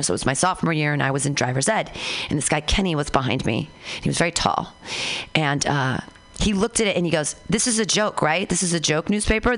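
A woman hosting a casual radio chat, telling stories animatedly and jumping into high voices when she quotes people. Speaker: radio show host.

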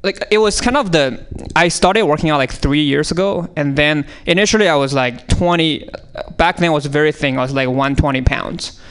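A man's voice: fairly high pitch, moderate pace, fairly bright tone, smooth. A man from China with a Chinese accent, improvising comedy bits on given topics in a rambling way, full of fillers and restarts. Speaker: comedian riffing on prompts. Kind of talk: improvised comedy on audience prompts. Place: radio studio.

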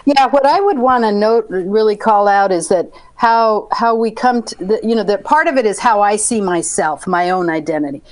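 A female guest researcher with a medium-pitched voice. She talks in an explanatory, reflective interview style, stressing the points she wants noticed. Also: normal speed, hardly any pauses.

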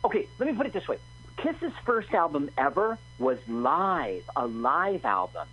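A man's voice: on the high side, big pitch swings, normal speed, breathy edge, highs cut off. A man co-hosting a radio show, telling stories emphatically and giving strong opinions in casual chat. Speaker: radio co-host. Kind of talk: casual chat watching a movie.

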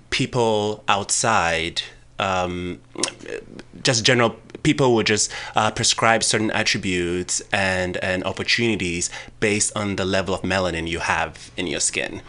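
A man from Ghana, a radio show guest, talking animatedly with frequent laughs in a soft, high voice. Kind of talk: casual radio discussion.

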